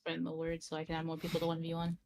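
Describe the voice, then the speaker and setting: A man's voice: fairly high pitch, monotone, racing, vocal fry. A man chatting casually as a guest on a livestream. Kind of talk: casual chat.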